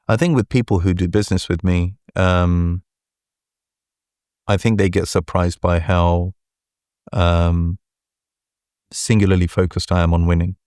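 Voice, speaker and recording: Deep-voiced guy, pretty monotone, calm measured interview answers. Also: clean tone, no echo, studio quality. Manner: measured, deliberate, frequent "right?" and "look". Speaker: venture capital partner, guest.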